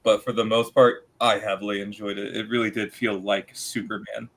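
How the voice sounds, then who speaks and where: A man, a guest on a live review, relaxed and easygoing. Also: fairly low pitch, normal speed. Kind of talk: casual chat.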